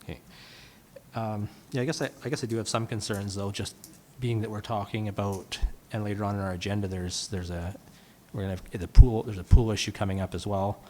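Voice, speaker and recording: A man's voice: lowish voice, fairly even intonation, brisk, darkish tone. A man speaking as a councillor in a meeting debate, hesitant and often restarting his phrases. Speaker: municipal councillor. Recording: reverberant meeting room, faint noise.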